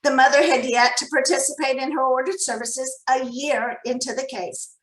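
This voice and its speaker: Very high-pitched woman in an echoey room. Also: fairly even intonation, normal speed. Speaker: nonprofit program director. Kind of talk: reading a prepared story aloud. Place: echoey room on a video call. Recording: echoey room over video call.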